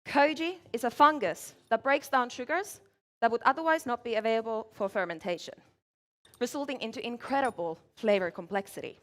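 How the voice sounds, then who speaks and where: A woman speaks in a clear, measured way as she presents her drinks to judges. Her voice sits a little high for a woman. She talks through each step while she works. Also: a bit bright. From Finland. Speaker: barista competitor. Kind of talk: competition presentation. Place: large event hall, live competition.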